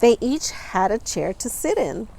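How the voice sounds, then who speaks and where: Female storytime reader, lively intonation and unhurried delivery, clearly stressing key words for small children. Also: on the high side, rough recording. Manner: expressive, deliberate, stressing and stretching words for young listeners. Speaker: storytime reader, teacher reading a picture book aloud. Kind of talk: children's storybook read-aloud with asides about the pictures.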